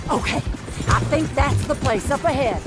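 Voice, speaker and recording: An actress playing a fierce, bossy, middle-aged outlaw woman in a video game, with brisk, commanding, folksy speech. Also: sits high, loud music behind the voice, natural voice. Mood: grim, urgent. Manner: brisk, commanding, folksy old-fashioned phrasing. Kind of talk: dramatic video game acting.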